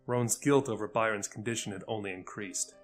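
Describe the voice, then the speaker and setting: A man's voice: lowish voice, fairly quick. A man narrating a scripted audio fiction story. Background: faint music.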